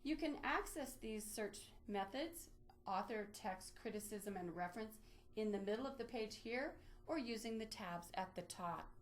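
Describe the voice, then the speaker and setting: Woman, mid-pitched voice, tutorial presenter, methodical, instructional walkthrough delivery. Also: animated, normal speed. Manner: methodical, step-by-step explaining. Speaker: presenter of a library database tutorial. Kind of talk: instructional screen walkthrough, lightly improvised.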